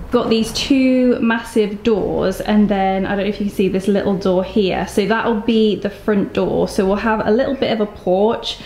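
An English woman in her thirties talks casually to her viewers in an enthusiastic, gushing way. Her voice sits at an average pitch. The recording is echoey, with some noise behind her. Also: darkish tone. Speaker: vlogger. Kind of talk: casual vlog tour, chatty. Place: home room, car, brick barn and yard. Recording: echoey rooms, noticeable background noise.

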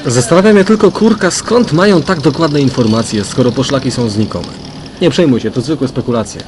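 An actor playing a grumbling, sceptical younger detective chatting colloquially in a crime radio drama. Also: male voice, medium pitch, lively intonation, moderate pace, noisy background, close to an everyday voice. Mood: grumbling, puzzled.

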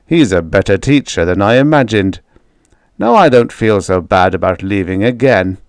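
A man playing a domineering father in a story, harsh, forceful and impatient. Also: fairly low pitch, lively intonation, normal speed, smooth, dry recording, studio quality. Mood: pleased. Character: stern, angry, domineering father and robot maker.

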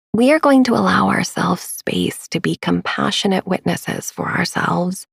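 A woman narrating a sleep meditation in a soft, breathy, gravelly voice with a soothing, unhurried delivery. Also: lowish voice, no room sound, clean studio sound. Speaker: podcast host and meditation guide.

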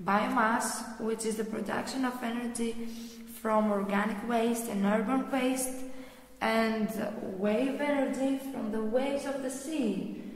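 A woman plays an inquisitive, studious girl in an educational cartoon. Her voice is high and she explains things in a deliberate, read-out way. The recording rings with heavy echo and a long reverb tail. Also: fairly even intonation. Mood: explaining. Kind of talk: scripted dialogue in an educational animation. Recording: very echoey room, long reverb.

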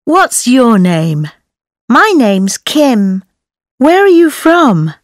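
Slow, lively-toned woman reading questions. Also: fairly high pitch, no room sound, studio quality. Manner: slow, careful model reading with lively intonation. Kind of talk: textbook audio dialogue.

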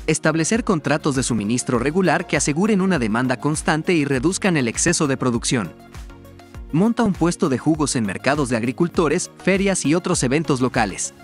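A man narrating a scripted promotional explainer in a measured, formal read-out manner. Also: fairly high pitch. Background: background music.

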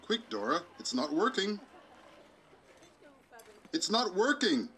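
A man's voice: sits high, very animated, fairly bright tone, exaggerated voice. Man playing a pompous, swooping puppet president. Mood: panicked. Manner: grandiose, halting, theatrical. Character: puppet American president, pompous orator, easily flustered. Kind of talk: live puppet show parody oratory.

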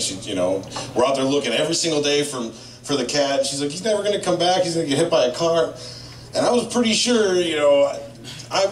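A man in his thirties doing a profane, rambling stand-up set in a small bar, on a handheld mic filmed from a distance. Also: medium pitch. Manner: profane, rambling storytelling with lively impressions. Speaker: stand-up comedian. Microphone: handheld mic, filmed by a far camera. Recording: echoey bar room, camera far off.

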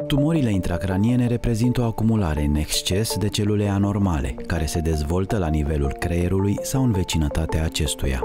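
A man's voice: low-pitched, hardly any pauses, over loud music. A man reading a formal scripted voice-over for a health program. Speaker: narrator.

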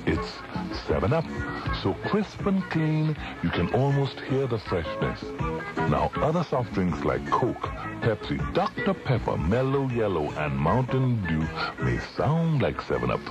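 Deep, theatrical, slow pitchman. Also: gravelly, dull audio, heavily acted. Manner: theatrical, savoring, confiding. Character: savoring, theatrical male soft-drink pitchman. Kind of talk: scripted radio commercial, early 1980s.

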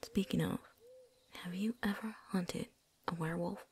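A woman playing a seasoned, wary but friendly vampire hunter in a hushed, folksy ASMR roleplay whisper. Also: on the low side, fairly even intonation, moderate pace, breathy edge. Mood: confiding. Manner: hushed, folksy drawl, teasing.

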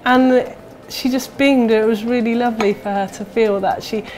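A woman's voice: a little high, fairly even intonation, normal speed, darkish tone, soft background music, low-quality recording. A British woman in her thirties speaking in an interview as a cafe manager, on a lapel mic indoors. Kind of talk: interview testimonial. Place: indoors in a small cafe room.

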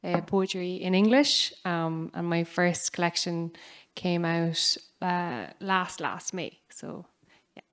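A woman with an Irish accent, a poet introducing herself softly and hesitantly on a panel in a large hall. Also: lowish voice, slow pace. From Ireland.

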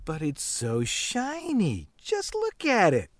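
A woman's voice: fairly low pitch, huge pitch swings, slow, no echo, studio quality, heavily acted. An actress playing a childlike, rambling female squatter in an audio drama. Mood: spacey. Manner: spacey, rambling. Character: odd, childlike female squatter, fixated on shiny things.

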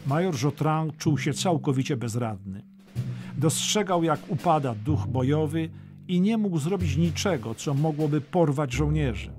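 A man's voice: on the high side, lively intonation, unhurried, over music. A man narrating a dramatic history audiobook from a script.

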